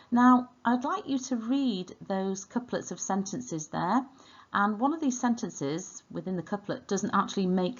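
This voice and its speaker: A woman's voice: medium pitch, lo-fi sound. A woman with a Welsh accent teaching an online language lesson, enunciating and repeating words in an instructional way.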